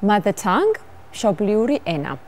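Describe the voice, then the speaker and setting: A young Georgian woman, her native Georgian coloring her English, co-hosts a lesson from a TV studio. Her pitch swings widely and she talks quickly. She sounds cheerful and laughs easily. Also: big pitch swings, darkish tone, a little hiss or hum. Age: young adult. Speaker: English teacher co-host. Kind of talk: bilingual televised lesson. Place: TV studio set.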